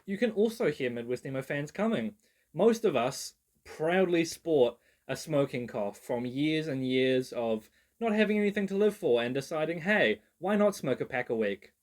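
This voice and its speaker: A man's voice: fairly high pitch, lively intonation, moderate pace. Rambling young New man. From New Zealand. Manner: rambling, self-mocking, swears, many "like" fillers. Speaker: video creator. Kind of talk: casual genre breakdown.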